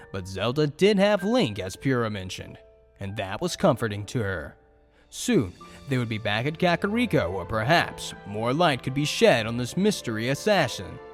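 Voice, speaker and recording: An audio drama narrator with a lively, moving pitch. The reading is scripted storytelling, with faint music underneath.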